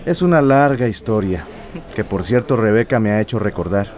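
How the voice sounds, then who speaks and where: An actor playing a wistful, evasive teacher in a radio drama, speaking in a hesitant, conversational way. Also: male voice, fairly low pitch, expressive, fairly quick, darkish tone, soft background music, lightly acted. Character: wistful, evasive male teacher and former musician.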